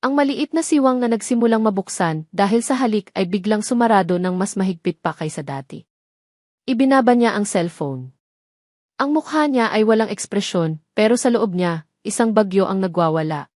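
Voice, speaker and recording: A woman's voice: medium pitch, expressive, normal speed, a little mellow, no echo, studio quality. A woman narrating a dramatic romance story from a script.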